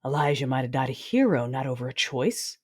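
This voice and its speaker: A woman's voice: very deep voice, sing-song, fairly quick, husky, exaggerated voice. A woman playing a brooding, menacing Sith lord, narrating her own story in an audio drama. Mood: uneasy, searching. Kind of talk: first-person audio drama narration and dialogue. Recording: dramatic music underneath.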